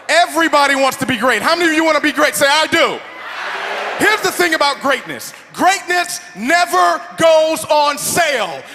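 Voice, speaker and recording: A young man giving a motivational speech from a stage, leading the crowd in call-and-response and often shouting. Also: very high-pitched, a little hiss or hum. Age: 20s. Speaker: motivational speaker. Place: large event hall. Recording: hall reverb, faint crowd.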